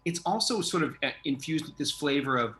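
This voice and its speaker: A man's voice: on the high side, lively intonation, fairly quick, fairly bright tone, a little hiss or hum. A man interviewing a guest in a casual chat, effusive and rambling as he thinks aloud. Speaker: interviewer, theatre artistic director.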